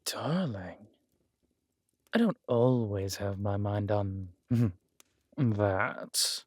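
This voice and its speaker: Man playing a slow, low-voiced villain king. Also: darkish tone, no room sound. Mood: flirtatious, teasing. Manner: slow, measured, smugly theatrical, intimate. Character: smooth villain king, orphan-born, regal, wry and menacing. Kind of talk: scripted roleplay audio drama.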